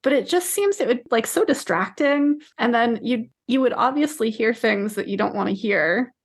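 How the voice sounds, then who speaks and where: A woman co-hosting a book podcast, talking casually in a measured, explanatory way. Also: a little high, animated. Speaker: podcast co-host discussing books.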